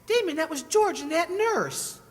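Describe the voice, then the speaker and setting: A woman's voice: very high voice, lively intonation, moderate pace. A woman playing a breezy, wisecracking wife in a comic radio play, with a playful, teasing manner. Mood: flustered, brisk. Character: breezy, wisecracking wife posing for her portrait. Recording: reverberant hall stage recording.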